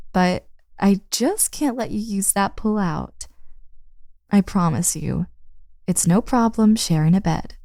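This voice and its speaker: A woman's voice: lowish voice, darkish tone, no room sound, clean studio sound. A woman playing a friend's teasing, tired older sister in a casual, intimate roleplay audio drama addressed to the listener. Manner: casual, playful, sighing, drawn-out words.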